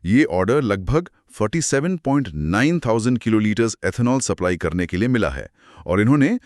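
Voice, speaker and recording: A man's voice: on the low side, sing-song, moderate pace, darkish tone, dry recording, studio quality. A man co-hosting a conversational explainer, speaking in an emphatic, explanatory way with English terms mixed in. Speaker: co-host explaining business results.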